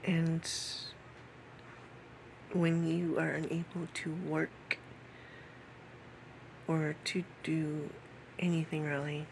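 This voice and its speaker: A woman in her late thirties talking personally to camera in a halting way, repeating phrases and sometimes dropping to a whisper. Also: sits low, flat delivery, vocal fry, a little mellow, a little hiss or hum, low-quality recording. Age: late 30s. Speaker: vlogger.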